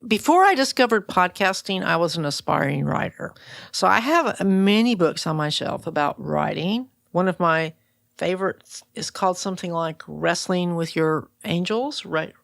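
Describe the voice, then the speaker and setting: A woman's voice: lowish voice, lively intonation, moderate pace, gravelly, no room sound, studio quality. A woman hosting a podcast interview in a casual, plainspoken, informal way.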